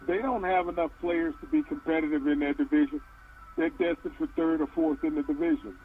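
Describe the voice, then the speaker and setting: A man with a slightly high voice handing down flat, confident predictions on football teams. His line sounds dull and lo-fi, with faint noise underneath. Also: brisk. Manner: short confident verdicts, predicts standings. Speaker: sports talk co-host. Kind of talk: casual sports talk.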